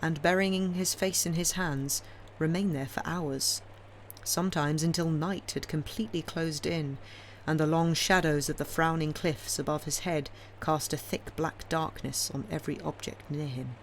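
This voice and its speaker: A woman reading classic fiction aloud as an audiobook narrator, in a measured, expressive, dramatic storytelling style. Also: low-pitched, a little hiss or hum. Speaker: audiobook reader.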